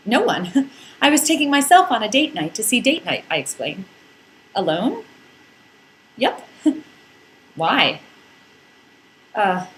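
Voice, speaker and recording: A woman's voice: medium pitch, a bit bright, a little hiss or hum, low-quality recording. A woman narrating a scripted first-person comic story in a playful, wry, theatrical way with dramatic emphasis. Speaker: podcast narrator reading a blog.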